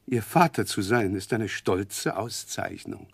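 A slimy humble-acting clerk, sly and spiteful underneath, old radio play, dry studio sound. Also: male voice, lowish voice, expressive, takes it slowly, creaky, slightly rough, no echo, full character voice. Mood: fawning boldness. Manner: obsequious, self-abasing, sly. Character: fawning, falsely humble, scheming clerk with hidden spite. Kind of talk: 1960s German radio drama acting.

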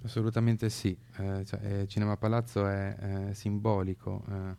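A man speaking as an activist on the radio, explaining his views earnestly in a halting, measured way. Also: fairly low pitch, flat delivery, gravelly, dark tone. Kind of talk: earnest political discussion.

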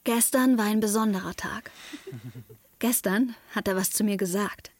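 A woman's voice: mid-range voice, normal speed, darkish tone, no echo, natural voice. A woman playing a restless, defiant teenage girl in love, confiding and emotionally charged in a German radio drama. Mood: confiding, wistful. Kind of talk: German radio drama, intimate first-person narration and dialogue. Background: music under the voice.

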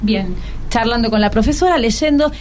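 A woman's voice: a little high, normal speed, a little mellow, a little hiss or hum. A woman with a Rioplatense Argentine accent hosting a casual radio interview, encouraging and full of questions. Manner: encouraging, asks many questions. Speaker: radio host interviewing schoolchildren.

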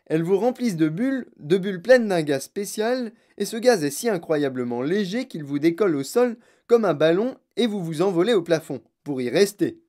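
A storybook narrator voicing an excitable, boastful eccentric man who owns a chocolate factory, exclamatory, emphatic and impatient. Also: mid-range voice, normal speed, pitched above the performer's own voice, natural voice. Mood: excited, proud.

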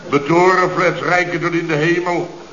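An actor playing a blustering, sarcastic boss of a building firm who rants indignantly in a radio comedy. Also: male voice, fairly high pitch, fairly even intonation, moderate pace, a bit bright, natural voice. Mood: exasperated. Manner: ranting, indignant, exclamatory. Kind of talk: radio comedy serial acting. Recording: dull, echoey old radio recording.

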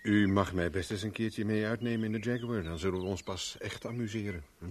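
An actor playing a flippant, flirtatious private investigator in a radio drama, with wry, teasing banter. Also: male voice, lowish voice, a little fast, lightly acted. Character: flippant, flirtatious male private investigator, world-weary charmer.

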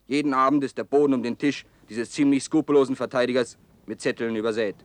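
Fast-talking contemptuous commentator played by a man. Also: medium pitch, fairly even intonation, no room sound, lightly acted. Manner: scornful, agitated. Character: disgusted, contemptuous male press commentator. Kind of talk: radio drama mock commentary.

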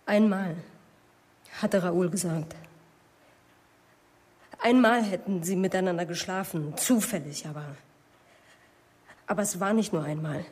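A woman's voice: lowish voice, a little slow, pauses often, darkish tone, breathy edge. An actress playing a shaken young female witness in a German radio drama, hurt and hesitant, repeating words as she goes.

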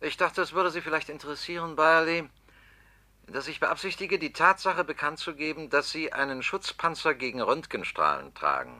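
A blunt, bright-voiced schemer. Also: male voice, fairly high pitch, expressive, moderate pace. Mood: pressing. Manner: blunt, pressing, sarcastic. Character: scheming male political opponent, blunt and threatening. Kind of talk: 1960s-70s radio drama acting.

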